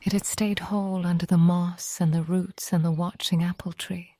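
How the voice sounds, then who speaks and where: Female bedtime narrator: very slow, mellow, soft and breathy, often close to whispering, gentle delivery. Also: lowish voice. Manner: gentle, hushed, unhurried with long pauses. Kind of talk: scripted bedtime story narration, slow and cozy.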